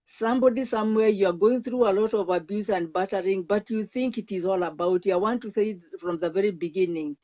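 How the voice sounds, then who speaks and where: A woman's voice: mid-range voice, a little fast, hardly any pauses, mellow. An older woman with a Kenyan accent, a counselor earnestly recounting her own life as a guest, emphatic, often restarting words. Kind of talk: earnest personal testimony. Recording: dull lo-fi sound.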